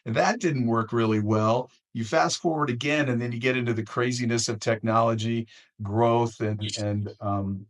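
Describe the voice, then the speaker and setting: American male podcast host, medium pitch. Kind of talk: conversational interview.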